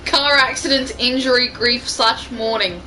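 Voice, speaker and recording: A woman's voice: a little high, measured pace, pushed and bright, rough recording. A woman chatting casually and reading fan fiction aloud to friends in an amateur way. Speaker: fan fiction reader in a group show. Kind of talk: casual group chat and amateur story reading.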